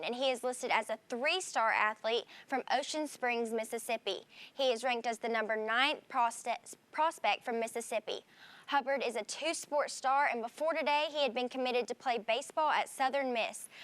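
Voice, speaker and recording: A woman with a high, light voice delivers a sports news update. Her reading is crisp and steady, in a practiced newscaster style. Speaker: sports anchor.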